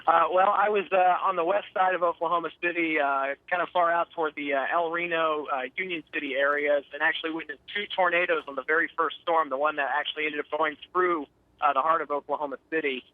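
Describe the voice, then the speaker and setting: A man's voice: fairly high pitch, steady tone, fast talker, dry recording. A man giving a live eyewitness report as a storm chaser over the phone, in a hesitant, matter-of-fact way. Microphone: phone call. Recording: muffled narrow telephone line.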